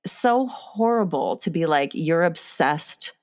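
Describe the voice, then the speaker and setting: A young woman with a low voice hosts a casual talk about films. She is chatty and often stops to search for the right word, filling the gaps with ums. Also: expressive, slow pace, darkish tone. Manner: chatty, searching for words, many fillers. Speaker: podcast host. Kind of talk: casual film discussion.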